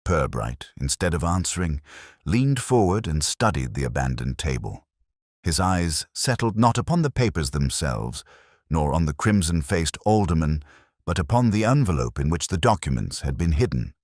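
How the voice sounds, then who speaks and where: A man narrating a scripted mystery story in a measured, formal way. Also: very deep voice, big pitch swings, darkish tone, dry recording, studio quality.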